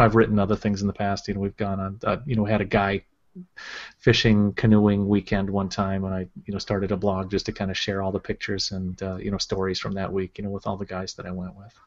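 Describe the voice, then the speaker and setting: A middle-aged man, a school administrator, talking steadily in a casual interview with many fillers. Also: on the low side. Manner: steady, filler-heavy with many "you know"s. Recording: dull lo-fi sound.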